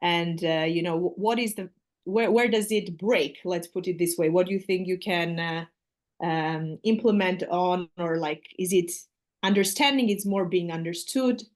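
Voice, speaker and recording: A woman's voice: on the low side, normal speed, a little mellow. Chatty Armenian-accented woman teacher. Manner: friendly, chatty, explains at length with fillers. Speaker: English teacher. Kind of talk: casual video call conversation. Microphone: video call.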